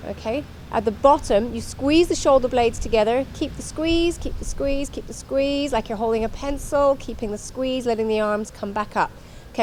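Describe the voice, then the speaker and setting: A woman's voice: fairly high pitch, a little mellow, slight background noise. A woman instructor talking through an exercise demonstration in an instructional way, repeating her cues as she goes.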